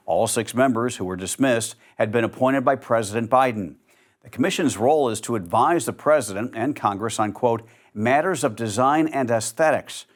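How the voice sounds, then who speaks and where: Measured male news anchor. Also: medium pitch. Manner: measured, even newsreader delivery. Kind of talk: scripted news narration.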